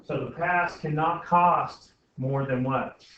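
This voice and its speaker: A man's voice: a little high, slow pace, bright, forceful tone. A man teaching a class informally, often checking in with questions. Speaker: algebra teacher. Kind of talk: classroom lesson, thinking aloud with questions to students. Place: indoor classroom. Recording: very echoey classroom, distant mic, muffled, faint noise.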